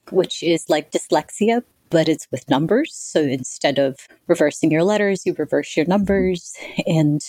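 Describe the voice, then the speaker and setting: A woman's voice: low voice, no room sound. A woman in her forties, a podcast guest, speaking in a reflective, articulate way about her own experience. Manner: reflective, articulate, self-described monotonous voice. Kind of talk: conversational interview answers.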